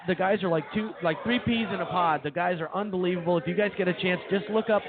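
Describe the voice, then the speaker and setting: A man with a fairly high voice trades casual comic banter as a radio co-host. He talks in exaggerated, boastful bursts and often repeats himself. The audio is thin and phone-like, with crowd chatter behind him. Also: fast. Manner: boastful, hyperbolic, repeats phrases. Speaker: co-host of a comedy radio show. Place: live show at a brewing venue.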